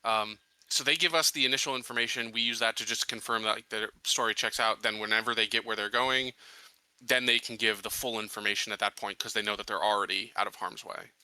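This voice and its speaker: A man's voice: on the low side, fairly even intonation, quick pace, sharp tone, clear, resonant tone, dry recording, poor audio. A man talking through plans as a tabletop roleplaying player, casual and thinking aloud. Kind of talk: casual in-game planning discussion.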